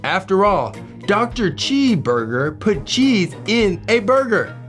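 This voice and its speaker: A man reads a children's story aloud in a playful, theatrical style. His pitch swings widely and his pace is unhurried. Soft music plays behind him. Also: sing-song. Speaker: co-host of a children's read-aloud show. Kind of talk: animated read-aloud narration for young children.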